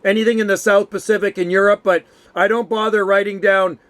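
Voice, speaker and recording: High-voiced North American man chatting. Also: level tone, brisk, a bit bright. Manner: easygoing, chatty, says "man" often. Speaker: amateur radio operator and channel host. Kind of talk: casual radio chat.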